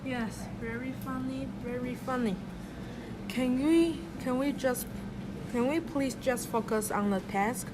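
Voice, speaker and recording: A woman's voice: high voice, measured pace, background noise. A woman playing an eager young female rabbit police officer in a student radio drama, urgent and impatient.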